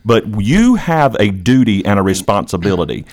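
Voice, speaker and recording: A man's voice: fairly low pitch, big pitch swings, normal speed, darkish tone. A man hosting an impassioned political talk, speaking in a preachy, emphatic way and repeating phrases for effect. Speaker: podcast host and longtime educator.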